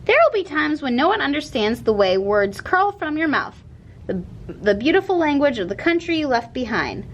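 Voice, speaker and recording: Woman, slightly high voice, children's story read-aloud, expressive narration, some background noise and rumble. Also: moderate pace, muffled recording.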